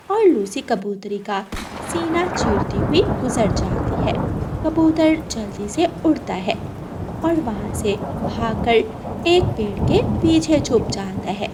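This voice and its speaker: A woman's voice: mid-range voice, very noisy. A woman reading a scripted children's story as the narrator of a cartoon.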